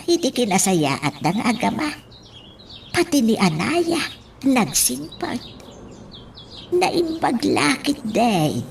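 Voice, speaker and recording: A woman's voice: mid-range voice, gravelly, full character voice. A woman acting in an Ilocano radio drama as a fond, amused caregiver, musing and coaxing, on a noisy track that sounds a bit distant.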